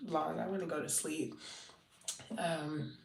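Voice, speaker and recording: A woman's voice: sits low, steady tone, slow pace, vocal fry, a little mellow, slight background noise. A congested, wheezy woman in her thirties from Southern California, chatting casually and loosely on a late-night livestream, full of fillers and restarts. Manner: rambling, many fillers and restarts. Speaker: content marketing livestreamer. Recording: roomy, distant from the mic.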